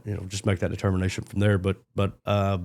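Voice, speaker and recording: Roofing company owner, guy with a lowish voice, plain and matter-of-fact. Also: completely flat delivery, fast talker, a little mellow. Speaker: roofing business owner, guest. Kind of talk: casual interview answers.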